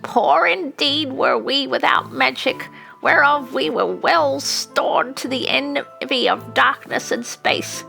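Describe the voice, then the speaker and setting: A woman's voice: high-pitched, slow pace, creaky, bright, forceful tone, soft background music, exaggerated voice. A woman voicing a fierce, scornful old witch in a hissing, incantatory storybook manner.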